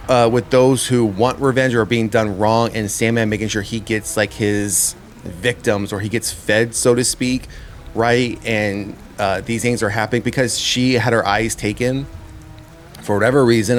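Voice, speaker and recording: A man's voice: medium pitch, fairly even intonation, normal speed, a little hiss or hum. A man giving a casual spoken review as a host, rambling and often repeating his words as he works out his thoughts. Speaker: host of a horror trailer review show.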